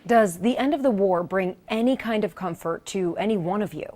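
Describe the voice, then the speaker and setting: A woman's voice: mid-range voice, fairly quick, darkish tone, slight background noise. A woman working as a TV news reporter, asking questions and recounting interviews in a discussion.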